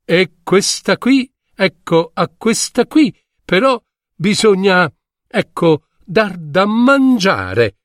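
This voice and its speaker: A fairy-tale wizard inventor, as a man acts him out, confident and mysterious. His voice sits high and moves slowly, drawing words out like a showman with halting pauses. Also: big pitch swings, a bit bright, no echo, clean studio sound, full character voice.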